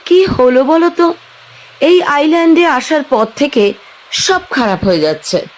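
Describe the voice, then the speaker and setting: A woman's voice: high-pitched, animated, unhurried, low-quality recording. An actress playing an impatient young woman stranded on an island, asking questions. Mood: uneasy.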